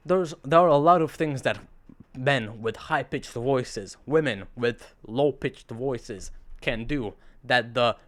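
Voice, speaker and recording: A teenage boy with a surprisingly deep, radio-like voice talks straight to his audience. His delivery is earnest and rambling, full of restarts and the odd sarcastic aside, at an ordinary middle pitch for a man. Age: teens. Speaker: vlogger. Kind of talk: casual monologue to camera.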